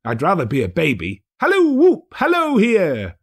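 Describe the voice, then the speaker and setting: A man voicing a giddy, overjoyed old miser reformed overnight, excitable, breathless and full of exclamations, in a storybook reading. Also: high voice, moderate pace, slightly rough, pitched above the performer's own voice, exaggerated voice. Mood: giddy glee.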